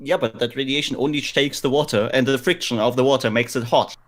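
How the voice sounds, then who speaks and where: A man with a medium-pitched voice chats on a casual gaming podcast. He argues his points plainly and matter-of-factly, in everyday conversational language. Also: fairly even intonation, quick pace, a bit bright. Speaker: podcast co-host. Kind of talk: casual gaming podcast chat among friends.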